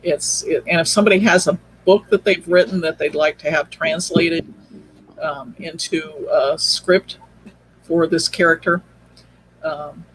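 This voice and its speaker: A woman's voice: deep voice, fairly even intonation, measured pace, slightly rough, rough recording. A woman chatting casually as a podcast guest, explaining things in an informal, hesitant way. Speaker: podcast guest, audio drama creator. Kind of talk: casual interview chat. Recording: faint background noise.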